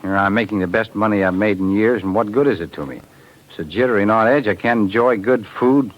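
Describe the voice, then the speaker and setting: Everyman for vintage radio ad male actor: low-pitched, folksy working fellow, dull lo-fi recording. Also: a little fast, lightly acted. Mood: worried, complaining. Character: ordinary jittery working man in an ad. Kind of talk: 1940s radio commercial acting.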